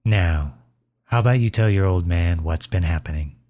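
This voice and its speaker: A man playing a gentle, caring middle-aged father in an intimate ASMR roleplay, speaking tenderly and reassuringly to his child. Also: low voice, normal speed, close to an everyday voice. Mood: gentle concern. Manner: tender, reassuring, softly chuckling.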